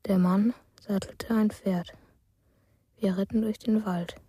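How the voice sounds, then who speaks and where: An actress narrating a dreamlike first-person night tale in a radio play, in a hushed, sparse, measured way. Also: female voice, mid-range voice, fairly even intonation, husky, no echo, clean studio sound.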